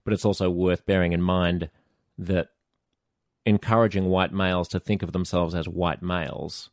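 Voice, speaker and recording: A middle-aged man with a very low voice hosts a conversational podcast interview. He reasons aloud, hesitating and restarting as he thinks. The sound is dry and close. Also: steady tone, normal speed, dry recording. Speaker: podcast host.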